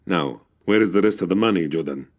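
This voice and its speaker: An actor playing a stern, formal Cairo police captain in a radio comedy drama, precise, officious and exasperated. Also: male voice, low voice, fairly quick, lightly acted.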